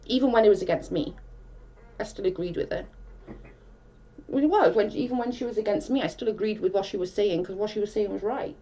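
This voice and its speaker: A woman's voice: mid-range voice, fairly quick, mellow, lo-fi sound. A woman from South Wales with a Welsh accent, chatting casually on a livestream and reading out comments in a rambling way with many restarts.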